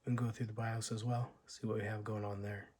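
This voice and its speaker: A man talking through an old laptop's setup, his voice hoarse from illness. He thinks aloud with halting pauses and fillers, in a casual vlog manner. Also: lowish voice, flat delivery, a little mellow. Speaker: tech video host. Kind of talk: casual unboxing walkthrough.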